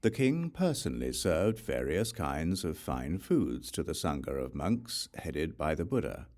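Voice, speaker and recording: A man reading Buddhist scripture as a scripted audiobook narration, in a measured, solemn way with deliberate phrasing. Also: extremely low pitch, big pitch swings, a little mellow.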